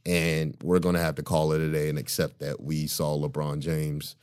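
A man with a very low, deep voice, holding forth in a loose podcast conversation. He talks with emphasis and often breaks into laughter. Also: monotone, normal speed, darkish tone, smooth. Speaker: podcast co-host. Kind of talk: casual chat.

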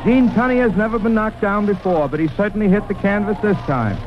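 A man's voice: high-pitched, a little fast, music in the background. A man commenting on boxing in the style of 1920s radio sports coverage. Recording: noisy, muffled old radio recording.

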